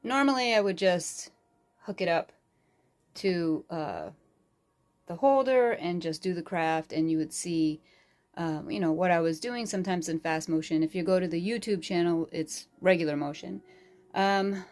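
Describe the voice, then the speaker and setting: A woman with a sore, hoarse throat, casually talking through a craft tutorial in a small bedroom craft corner, rambling with lots of fillers. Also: on the low side, normal speed, a little mellow. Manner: rambling and chatty, with frequent fillers. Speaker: crafting channel host. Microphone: phone camera.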